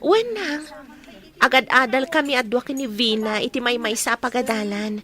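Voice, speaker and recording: A woman's voice: a little high, normal speed, a bit bright, natural voice. A woman with an Ilocano accent playing a wistful, reserved young daughter in a radio drama. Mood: confiding.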